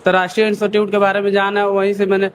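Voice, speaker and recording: A man's voice: high voice, completely flat delivery, very fast, a little hiss or hum. A student from Bihar explaining steadily how he found the course. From Bihar, India.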